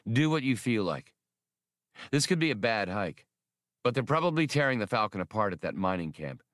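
A male narrator reads a brash, stubborn space pilot in an audiobook. He is blunt and argumentative, with animated pitch and a dry, close sound. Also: normal speed, no room sound. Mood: insistent.

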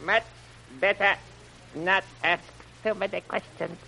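A sharp-voiced, servile plantation servant. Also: female voice, mid-range voice, fairly even intonation, creaky, slight background noise, low-quality recording. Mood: quietly menacing. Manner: halting broken English with stock foreign phrasing. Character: servile, evasive half-breed plantation servant man, quietly menacing. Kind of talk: 1930s radio acting.

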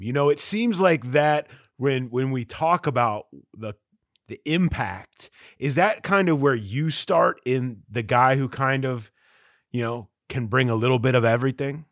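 A man in his forties with a mid-pitched voice in a dry, close recording. He interviews athletes in a casual, conversational way. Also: a bit bright, no room sound. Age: 40s. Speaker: sports interviewer.

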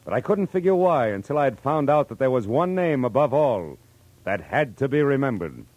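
A man's voice: mid-range voice, sing-song, moderate pace, dry recording, lo-fi sound. An actor playing a wry, hard-boiled American private eye who talks in easygoing tough-guy slang in a 1940s radio drama. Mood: terse, ominous. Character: hard-boiled, easygoing male private eye, narrating his own case. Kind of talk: 1940s radio detective drama acting and narration.

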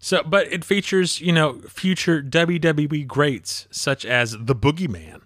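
A man's voice: on the high side, a little slow, dry recording, clean studio sound. A man of thirty co-hosting a podcast, chatting casually and animatedly about wrestling and laughing often. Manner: animated, laughs often, quotes people in voices. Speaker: podcast co-host.

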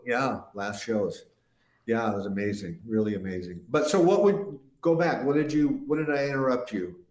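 A man's voice: mid-range voice, normal speed, a little mellow. An older man, a guest musician, telling long, rambling stories in an interview and often restarting his sentences. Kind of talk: casual interview storytelling. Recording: roomy echo.

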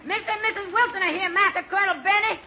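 A naive, eager young servant, hamming it up. The voice is extremely high and quick, in 1940s radio comedy style. Heavy noise covers the muffled recording. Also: male voice, steady tone. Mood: overeager. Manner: simple-minded, overdoing his servant act.

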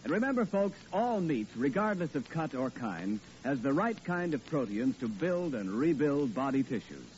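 A man reading a scripted radio commercial with smooth, persuasive announcer delivery. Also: mid-range voice, very animated, moderate pace, hardly any pauses, darkish tone. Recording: dull lo-fi vintage radio broadcast with faint hiss.

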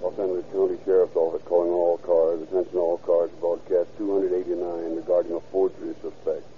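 An actor playing a terse police radio dispatcher reading clipped, deadpan bulletins in a 1930s radio drama. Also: male voice, low-pitched, brisk, close to an everyday voice. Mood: flat, official. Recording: tinny, noisy 1930s radio recording.

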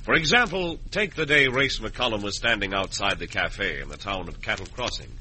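Animated American radio announcer man. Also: on the low side, moderate pace, a little hiss or hum, rough recording. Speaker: announcer and narrator. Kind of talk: vintage radio western narration. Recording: vintage radio recording with music.

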